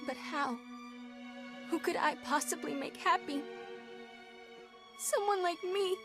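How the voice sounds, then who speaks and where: A woman's voice: very high-pitched, takes it slowly, exaggerated voice. An actress playing a tender, anguished young female companion in a dramatic video game scene. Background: music.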